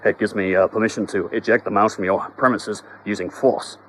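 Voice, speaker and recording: A man voicing a brash, hurried pest exterminator. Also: on the low side, steady tone, fairly bright tone, a little hiss or hum, natural voice. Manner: hurried, brusque.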